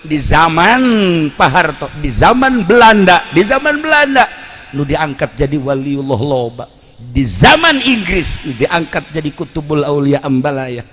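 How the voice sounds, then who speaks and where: A man's voice: a little high, very animated, a little slow, a bit bright. A man with a Banten Sundanese accent preaching and telling stories in a blunt, colloquial way, repeating phrases for emphasis. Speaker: Islamic preacher. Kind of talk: Sundanese sermon with storytelling. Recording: reverberant hall, background noise.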